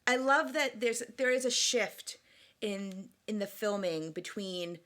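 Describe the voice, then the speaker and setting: A woman's voice: mid-range voice, takes it slowly, pushed and bright. A woman co-hosting a podcast in casual chat, giggly and chatty, often restarting her sentences. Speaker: podcast co-host.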